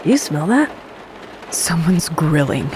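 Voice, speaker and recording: An actress plays a blunt, easygoing woman on the road in a post-apocalyptic audio drama. Her voice is a bit low and very slow, in casual slangy speech. Clear rain and vehicle ambience surrounds her. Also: sing-song, background noise, exaggerated voice. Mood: casual, hungry. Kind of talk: naturalistic audio drama acting.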